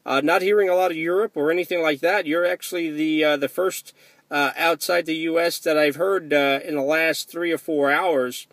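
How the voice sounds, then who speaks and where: A man's voice: fairly high pitch, steady tone, normal speed, a bit bright, no echo. An American man in a casual, chatty ham radio conversation, speaking with enthusiasm from inside a car. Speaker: amateur radio operator.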